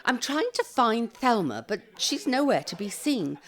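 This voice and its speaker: A British actress playing a fussy, chirpy elderly care-home resident in an audio drama, brisk and theatrical. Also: female voice, fairly high pitch, very animated, full character voice. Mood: fussy, exasperated.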